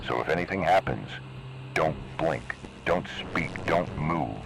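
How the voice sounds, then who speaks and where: A man voicing a cold, menacing emergency broadcast announcer in slow, measured, ominous narration. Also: very deep voice, lively intonation, gravelly, heavily acted. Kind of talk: scripted analog horror narration. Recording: noisy analog video recording.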